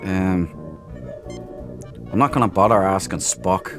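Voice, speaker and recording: A man's voice: low voice, takes it slowly. A man hosting a casual let's play, sweary and exclamatory as he talks to himself. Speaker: gaming channel host. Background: game music.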